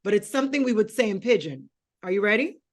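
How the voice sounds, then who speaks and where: A woman's voice: medium pitch, expressive, fast talker. A woman hosting an interview show, coaxing her guest through a guessing game with patient hints. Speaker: show host.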